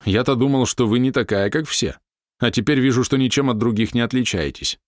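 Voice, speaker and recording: A man's voice: mid-range voice, brisk, dry recording, clean studio sound, voice pushed up, lightly acted. A man voicing an irritable middle-aged male writer who snaps back with indignant retorts. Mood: irritated.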